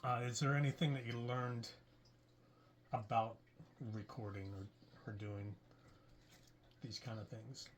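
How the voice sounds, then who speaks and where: A man hosting the interview, asking questions in a calm, soft-spoken way. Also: medium pitch, a little slow, pauses often, noisy background. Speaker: host and writer of the show.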